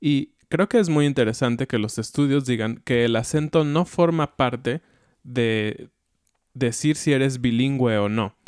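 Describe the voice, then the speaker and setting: Calm Mexican man, smooth dry voice. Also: mid-range voice, measured pace, no echo. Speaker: podcast co-host. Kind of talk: educational podcast chat.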